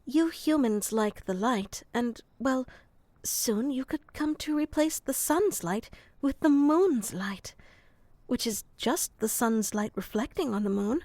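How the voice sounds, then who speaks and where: A gentle, slightly scatterbrained moth girl, acted by a woman murmurs in a raised, light voice at an unhurried, drowsy pace. She talks softly and slips into whispers now and then. The close microphone keeps the sound dry. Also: fairly high pitch, expressive, no echo. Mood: soft, musing. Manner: soft-spoken, slow and musing, slipping into whispers. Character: gentle, slightly scatterbrained female moth creature soothing a human to sleep. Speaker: ASMR performer. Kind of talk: ASMR sleep roleplay.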